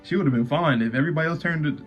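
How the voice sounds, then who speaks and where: A man's voice: a little high, racing, faint music behind. A man giving slangy, laid-back commentary in a casual reaction chat. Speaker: anime reaction host.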